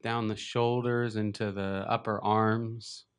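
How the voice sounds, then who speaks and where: Meditation teacher, male, low smooth voice, gentle and unhurried guidance. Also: level tone. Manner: slow, gentle, unhurried guidance with long pauses. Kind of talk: guided meditation.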